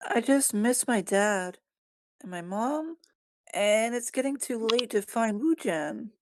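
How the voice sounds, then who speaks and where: Woman reading as an earnest little boy, high voice. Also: lively intonation, slow, noisy background. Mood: sad, pleading. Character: earnest young boy, homesick and determined. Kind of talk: screenplay table read.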